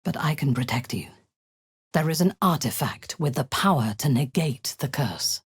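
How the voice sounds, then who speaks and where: An actress voicing a cold, imperious woman warrior-commander and zealous cultist in a video game, speaking in a low, husky, half-whispered menace. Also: animated, moderate pace, no echo, studio quality, exaggerated voice. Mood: menacing. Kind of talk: video game character acting.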